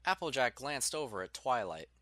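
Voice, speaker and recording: A man's voice: medium pitch, sing-song, normal speed, sharp tone, dry recording. A man reading scripted story narration as the narrator of an audiobook.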